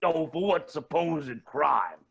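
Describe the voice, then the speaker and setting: A smug billionaire villain, acted by a man, grand and theatrical. The voice sits high, with gravelly creak and wildly animated pitch, in a comic stage-reading style. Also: slow pace, full character voice. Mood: smug, pompous. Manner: pompous, grandiose.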